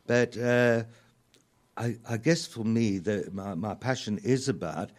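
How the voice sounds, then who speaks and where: A middle-aged or older man with a South African accent, talking reflectively as a radio interview guest in thoughtful, halting, measured phrases. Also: fairly low pitch, steady tone. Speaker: interview guest, author and lyricist.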